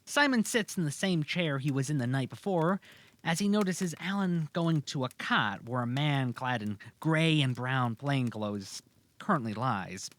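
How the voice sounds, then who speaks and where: A man's voice: medium pitch, lively intonation, measured pace, a bit bright. A man narrating a fantasy audio drama from a script. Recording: clearly audible background noise.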